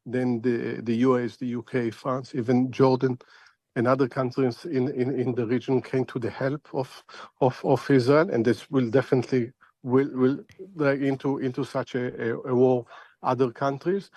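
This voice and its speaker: Halting male analyst, dry close sound. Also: medium pitch, flat delivery, darkish tone, dry recording. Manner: halting, repeats words as he searches for phrasing. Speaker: foreign affairs analyst, interview guest. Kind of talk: live interview commentary.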